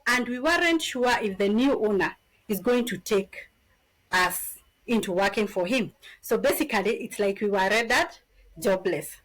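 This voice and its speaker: A woman in her thirties from Kenya with a Kenyan accent, a podcast guest telling her story in an animated, casual way. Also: mid-range voice, normal speed, rough recording. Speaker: podcast guest, business founder.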